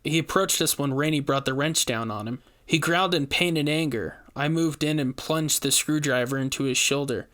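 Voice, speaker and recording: A man's voice: on the high side, normal speed, hardly any pauses, a bit bright. A man giving a scripted first-person narration of a horror story, as its narrator.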